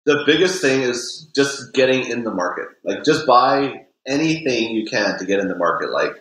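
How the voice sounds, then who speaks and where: A realtor, a man, giving casual advice in a relaxed, filler-heavy way from his home office over a video call. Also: mid-range voice, moderate pace. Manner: relaxed, repeats filler words. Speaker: realtor, podcast guest. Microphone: laptop mic on video call. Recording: roomy video call audio.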